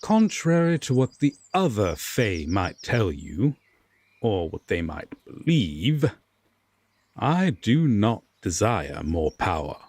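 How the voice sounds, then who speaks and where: Haughty, reluctantly protective fae king played by a man: very slow, breathy, commanding delivery for fantasy audio drama. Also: on the low side, big pitch swings, darkish tone, dry recording, exaggerated voice. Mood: weary, firm. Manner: deliberate, commanding, drawn-out pauses, condescending.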